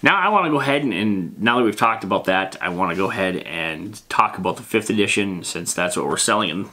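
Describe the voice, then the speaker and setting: A man's voice: sits low, lively intonation, brisk, bright, forceful tone. A man hosting a casual, rambling explainer on tabletop game monsters, reading out rules and tossing in informal, emphatic asides.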